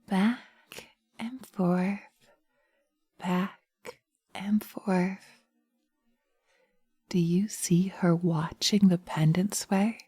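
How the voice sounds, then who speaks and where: A seductive, dominant witch acted by a woman speaks in a lowish, very soft and mellow voice. She is slow and coaxing, teasing with a sinister sweetness, and often slips into whispers. The sound is dry and intimate, close to a binaural mic. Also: dry recording, exaggerated voice. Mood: coaxing, hypnotic. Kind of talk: breathy binaural hypnosis roleplay audio. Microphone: close binaural microphone.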